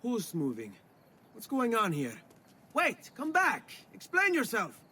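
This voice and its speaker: An actor with an Italian accent playing a brash young nobleman in a video game, husky voiced. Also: very high-pitched, huge pitch swings, slow pace, bright, forceful tone, in a large hall, full character voice. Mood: wary, demanding. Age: young adult. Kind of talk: scripted video game acting.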